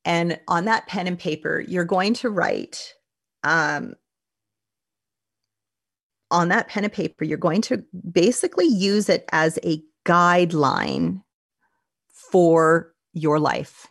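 A woman speaking as a life coach, leading a calm, reflective self-help talk and often repeating her words. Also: on the low side, slow pace, creaky, long pauses, dry recording, clean studio sound.

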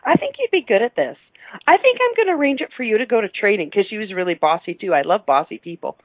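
A middle-aged woman from Canada chatting casually and effusively as an interview guest, playful and quick to laugh. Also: mid-range voice, very animated, dry recording. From Alberta, Canada. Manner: effusive, playful, laughs often while talking. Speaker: interview guest, radio show host. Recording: narrow, phone-like line.

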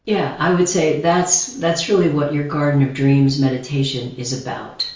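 A woman guest speaker explaining meditations calmly, in a slow, gentle, reflective way. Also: low-pitched. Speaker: guest speaker, shamanism teacher. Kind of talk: calm guided explanation. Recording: distant echoey dull video call audio.

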